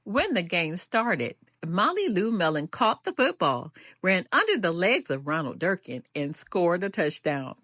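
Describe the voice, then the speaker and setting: An older woman reading a children's picture book aloud as a storyteller, with an animated, deliberate delivery and drawn-out refrains. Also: lowish voice, dry recording, clean studio sound.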